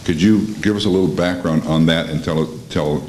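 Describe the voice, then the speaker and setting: A man with an extremely low voice asks a polite question from the audience. Faint noise sits on the recording. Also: fairly even intonation, normal speed, a little mellow.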